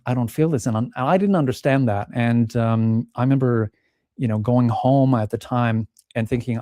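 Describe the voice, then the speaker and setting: Mellow-voiced man, reflective and candid. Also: mid-range voice, no room sound, clean studio sound. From Czech Republic. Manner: thoughtful, many restarts, acts out remembered quotes. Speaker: pianist and podcast guest. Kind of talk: reflective interview talk.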